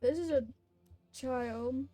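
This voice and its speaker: A woman's voice: sits high, takes it slowly, warm tone. A young woman chatting casually and hesitantly as she plays a game on a livestream, rambling a little. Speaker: game streamer. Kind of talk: casual livestream chat while gaming. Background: faint game music.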